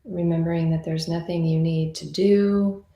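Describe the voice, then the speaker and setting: Woman doing guided meditation, slightly low voice, conversational n reassuring, echoey room. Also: steady tone, moderate pace, dark tone, dull audio. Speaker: meditation teacher. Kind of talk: guided meditation, gentle unscripted instruction. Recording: roomy, echoing sound.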